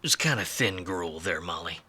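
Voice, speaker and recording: An actor playing a world-weary, wisecracking private detective in a film noir radio play, wry and slangy. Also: male voice, low-pitched, expressive, normal speed, noisy background. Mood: dry, sardonic. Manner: hard-boiled, wry, slangy.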